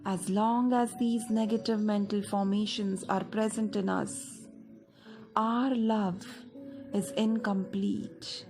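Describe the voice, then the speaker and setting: A woman with an Indian accent narrates a scripted guided meditation in measured, deliberate phrases with pauses. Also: medium pitch, level tone, a little mellow. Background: music.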